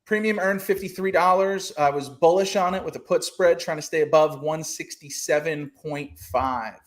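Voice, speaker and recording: A man hosts a relaxed podcast about trading over a video call. His voice sits a little high for a man, and he rambles along with frequent fillers and restarts. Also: moderate pace. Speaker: podcast host. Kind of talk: casual trading podcast talk.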